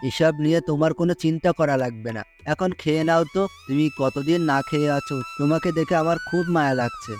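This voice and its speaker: A man's voice: fairly high pitch, huge pitch swings, fast, few pauses. A man voicing a kind-hearted poor farmer in a cartoon, talking patiently and sometimes grumbling in exasperation. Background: background music.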